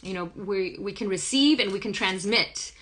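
A woman in her thirties with a hoarse, croaky voice, chatting casually through a live-stream reading in a small wood-paneled room, recorded on a phone. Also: mid-range voice, normal speed, fairly bright tone, poor audio. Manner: chatty, rambling, hums song lyrics. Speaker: spiritual reader. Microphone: phone camera at arm's length.

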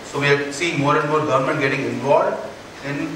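A man in his forties with an Indian English accent, giving an earnest conference keynote on a large stage and often checking in with the audience with a quick "right?". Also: fairly high pitch, steady tone, a bit bright, a little hiss or hum. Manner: earnest, rhetorical questions, frequent "right?" tags. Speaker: keynote speaker. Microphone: headset mic. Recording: echoey hall, heard from far off.

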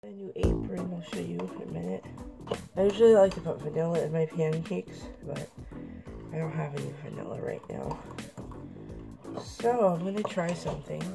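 Woman in her thirties, on the low side with some fry, a little hoarse from a cold, chatting while she cooks on a kitchen-counter camera. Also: animated, moderate pace, over music. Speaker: vlogger. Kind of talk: casual home cooking vlog. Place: small home kitchen.

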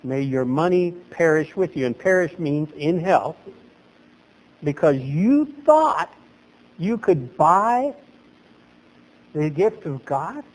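A middle-aged man preaching a sermon as pastor at a lectern in a small chapel, animated and gesturing, his voice leaping up for emphasis. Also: on the high side, takes it slowly, long pauses, rough recording.

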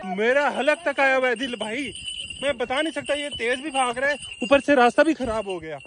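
A young man filming himself at arm's length on a mountain trail, joking excitably and often out of breath. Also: very high-pitched, a little fast, background noise. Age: young adult. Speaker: travel vlogger. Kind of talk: casual travel vlog. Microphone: handheld phone camera at arm's length.

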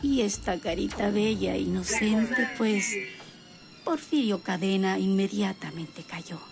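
Slow, creaky, scheming villainess. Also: female voice, mid-range voice, over music. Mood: vain, seductive. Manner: slow, gloating, breathy asides. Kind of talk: radionovela acting, soliloquy.

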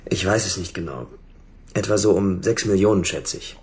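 An actor playing an irritable, suspicious husband in a radio drama, answering curtly and defensively. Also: male voice, sits low, normal speed, darkish tone, natural voice. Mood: irritated. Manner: curt, indignant, suspicious. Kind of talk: German radio drama acting.

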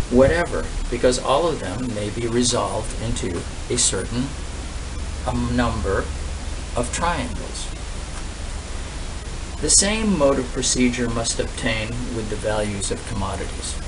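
An older man in his sixties reads a formal speech aloud at a middling pitch. His phrasing is deliberate and emphatic, broken by pauses. He is recorded outdoors a little way from the mic, with steady noise clearly behind him. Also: far from the mic. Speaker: reader of a political economy text. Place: outdoors on a brick-paved patio.

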